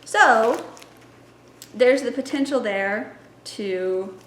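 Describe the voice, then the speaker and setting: High-voiced young woman, upbeat and teacherly. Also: drawn-out words, fairly bright tone, slight background noise. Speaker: library science student and story time planner. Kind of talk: casual teaching vlog. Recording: echoey room.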